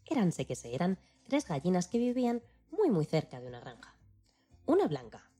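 A woman with a husky voice narrating a rhyming children's tale in a playful, declamatory, theatrical way. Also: lowish voice, huge pitch swings, normal speed, mellow, soft background music. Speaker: storyteller.

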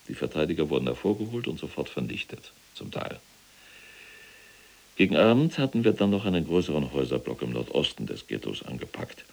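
An actor in a radio drama playing an imprisoned middle-aged SS general, cold and boastful, with a gravelly voice and a clipped, self-satisfied military way of recounting. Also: male voice, rumbling low, a little mellow, full character voice.

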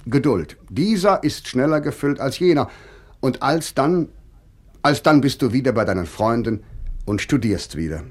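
A man's voice: mid-range voice, animated, moderate pace, natural voice. Actor as Lessing, earnest, wry man of letters. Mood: wistful. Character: Lessing, earnest, wry male writer reading his own letters. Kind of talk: dramatic reading of 18th-century letters.

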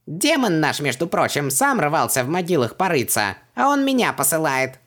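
A man's voice: on the high side, sing-song, normal speed, fairly bright tone, pitched above the performer's own voice. A man voicing a tiny, cheeky and sulky djinn servant in an audiobook, indignant and boastful. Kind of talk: audiobook character voice.

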